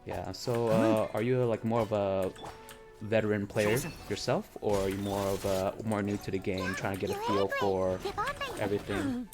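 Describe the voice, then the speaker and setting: A man with a very low, deep voice talks casually into a desk mic at his home streaming setup, trailing off and restarting often with lots of ums and likes. Faint game music plays underneath. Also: level tone, moderate pace. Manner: rambling, hesitant, many fillers. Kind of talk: casual live-stream chat while gaming.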